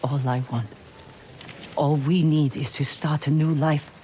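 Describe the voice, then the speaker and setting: Low, weary, guarded woman. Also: steady tone, a little slow, warm tone, a little hiss or hum. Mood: weary, regretful. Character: weary, guarded woman thief seeking new life. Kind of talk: dramatic video game acting.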